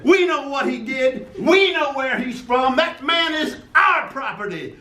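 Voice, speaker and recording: A melodramatic courtroom lawyer, as a man plays him pleads in a high, swooping voice. He speaks slowly and theatrically. The reading is heard in an echoey room with faint noise. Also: expressive, pushed and bright, exaggerated voice. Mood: indignant. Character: theatrical male Southern lawyer pleading dramatically. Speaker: defense attorney Mister Lee. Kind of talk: amateur radio play reading.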